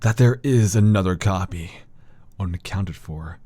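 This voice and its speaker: A man playing a grave, guarded university scholar in an audio drama, speaking in a measured, halting way. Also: deep voice, warm tone, slight background noise. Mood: secretive. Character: grave, learned male university doctor guarding occult secrets, guarded.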